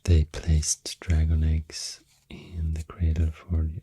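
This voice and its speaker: A man with a Swedish accent, rambling softly and hesitantly in soothing ASMR, breathy and often near a whisper, close to the mic. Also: extremely low pitch, completely flat delivery, takes it slowly, no echo. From Sweden. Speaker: ASMR creator. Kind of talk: soft-spoken ASMR rambling. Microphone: close microphone in a dry space.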